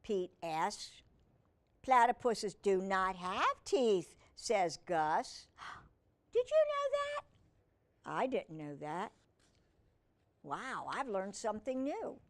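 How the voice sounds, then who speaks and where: A woman's voice: medium pitch, drawn-out words, pauses often. A woman reading a picture book aloud to young children, speaking expressively and putting questions to the listener. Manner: expressive, asks the listener questions, simple child-directed wording. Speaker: children's storyteller and humane educator.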